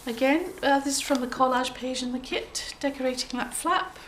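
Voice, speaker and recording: A woman with a cold, sounding a bit croaky, chatting gently through a show-and-tell of her handmade journal with frequent ums and throat clearing. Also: sits high, moderate pace, a little hiss or hum. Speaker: craft journal maker showing her work.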